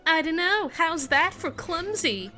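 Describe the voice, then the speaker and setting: A cheeky young male dragon, voiced by a woman, needles his friend in a high, bright voice. The delivery is unhurried and swings up and down with playful energy. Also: sing-song, exaggerated voice. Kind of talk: fan script reading.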